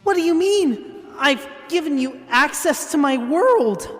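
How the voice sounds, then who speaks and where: A man's voice: very high voice, animated, unhurried, a bit bright, big-room sound, faint music behind, full character voice. A man playing a confused, worried young hero in an audio drama, with an earnest, questioning manner. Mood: alarmed. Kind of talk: hobby audio drama acting.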